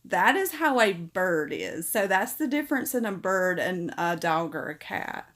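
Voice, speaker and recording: A woman's voice: on the low side, very animated, a little slow. Female livestream host, middle-aged, casual and chatty. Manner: warm, chatty, reads comments aloud and answers them. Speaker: livestream host reading viewer comments.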